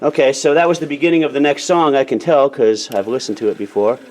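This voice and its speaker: A man's voice: medium pitch, fairly even intonation, quick pace, music in the background, low-quality recording. An American man giving a casual hands-on demonstration as an instructor, explaining plainly in small steps and checking in as he goes.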